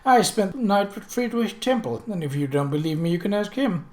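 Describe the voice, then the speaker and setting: A narrator puts on a haughty lady of high society. She speaks with chilly self-satisfaction, as in a period mystery audiobook. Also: expressive, brisk, a little mellow, natural voice. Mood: smug. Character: cool, smug, haughty upper-class lady.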